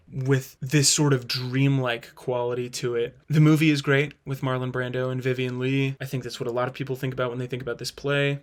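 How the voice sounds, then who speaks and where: Casually opinionated man, thinking aloud. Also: mid-range voice. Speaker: host. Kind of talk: casual spoken video review.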